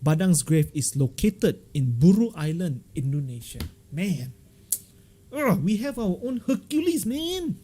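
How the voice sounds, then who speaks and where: A man with a Malaysian accent hosting a casual livestream, chatting and telling stories in an animated, jokey way full of local slang. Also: sits high, measured pace, breathy edge. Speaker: livestream host. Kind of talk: casual live-stream chat and storytelling.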